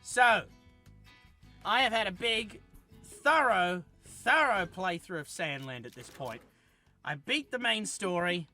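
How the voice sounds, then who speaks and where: A man talks through a game review in a loose, chatty way, circling back to fix his own words. His voice sits a little high and rings bright and forceful. Soft game music plays underneath. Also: animated, takes it slowly. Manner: rambling and chatty, with frequent self-corrections. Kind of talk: casual game review commentary.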